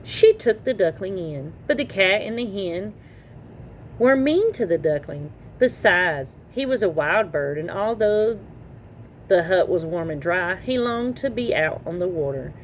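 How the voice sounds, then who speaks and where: A woman reading a children's story aloud in an expressive, animated storytelling manner. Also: lowish voice, measured pace. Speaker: children's story reader and channel host. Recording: noticeable background noise.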